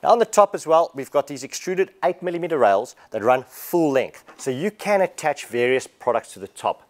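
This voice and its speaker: A man's voice: mid-range voice, expressive, normal speed. A man, explaining a product in a casual walkthrough inside a large warehouse showroom. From Australia. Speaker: product presenter.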